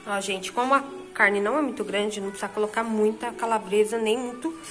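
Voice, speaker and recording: A woman's voice: medium pitch, steady tone, a little fast, a bit bright, faint music behind. A woman in her thirties from Brazil, chatting casually with viewers while filming in her home kitchen on a phone. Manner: chatty, addresses viewers directly. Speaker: vlogger. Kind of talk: casual cooking vlog narration.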